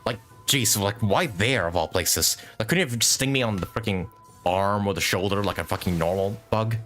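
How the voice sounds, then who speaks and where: A man's voice: lowish voice, moderate pace, fairly bright tone, faint music behind. A man chatting casually as a live game streamer, rambling and thinking aloud with some mild swearing. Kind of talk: casual gaming stream commentary.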